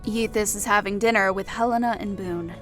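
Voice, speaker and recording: A woman's voice: medium pitch, steady tone, fairly quick, fairly bright tone, faint music behind, natural voice. A woman playing a cool, composed fantasy woman with a dry, icy edge in an audio story.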